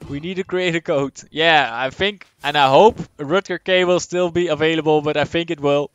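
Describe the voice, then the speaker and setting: A young man with a Dutch accent streams a game and chats with his viewers. His voice sits a little high and sounds smooth and clear. The close, dry mic keeps his casual, think-aloud commentary right up front. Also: steady tone, moderate pace, no echo, lo-fi sound. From Netherlands. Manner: casual, chatty, answers chat aloud, thinks out loud. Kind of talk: live gameplay commentary, reading chat.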